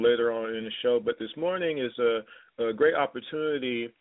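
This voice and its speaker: A man hosting a talk radio show, speaking loosely and casually with many fillers. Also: medium pitch. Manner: loose, filler-heavy, conversational. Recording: tinny phone-like line.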